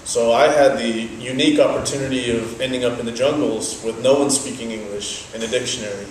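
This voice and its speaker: A young man whose first language is Dutch, giving measured, explanatory answers in an interview. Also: medium pitch, fairly even intonation, slight background noise. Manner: measured, explanatory, thoughtful pauses. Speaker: interviewee, business owner. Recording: very echoey, from a distance, faint ambience.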